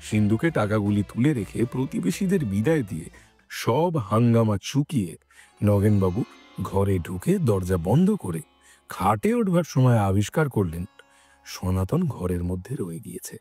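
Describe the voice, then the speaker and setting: Low-pitched male story narrator, lively intonation. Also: normal speed, husky, faint music behind. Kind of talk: scripted dramatic story narration.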